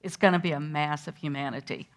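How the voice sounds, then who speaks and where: An older woman guest, telling a vivid personal story to schoolchildren in an animated way. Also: sits low, quick pace. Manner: lively, animated storyteller who acts out moments. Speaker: interview guest recalling a historic day.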